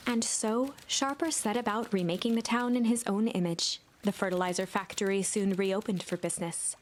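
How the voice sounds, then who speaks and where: A woman's voice: mid-range voice, steady tone, normal speed. Woman storyteller for a narrative game; medium register, scripted reading, slight noise behind. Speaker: narrator and player of a game playthrough.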